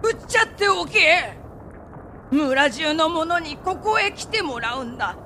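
A woman's voice: high-pitched, lively intonation, unhurried, pushed and bright, a little hiss or hum, exaggerated voice. Woman acting a stern, urgent old village headman. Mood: urgent, commanding. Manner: commanding, blunt old-man speech. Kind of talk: radio drama acting.

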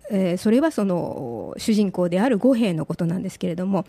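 Woman explaining politely in a mellow voice. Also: lowish voice, lively intonation, a little fast, slightly rough, dry recording. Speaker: disaster information presenter and theatre troupe member. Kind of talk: explanatory radio talk.

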